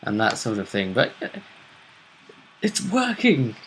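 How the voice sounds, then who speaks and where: Male vidcast host, medium-pitched, informal and joking, swears freely while talking through role-play game results. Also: huge pitch swings, unhurried, fairly bright tone, a little hiss or hum. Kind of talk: casual chat recapping a role-play game tournament.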